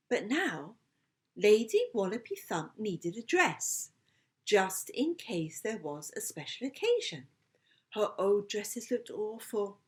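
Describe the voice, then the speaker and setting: A woman reading a children's story aloud in an expressive, playful storytelling manner. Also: medium pitch. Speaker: author reading her book.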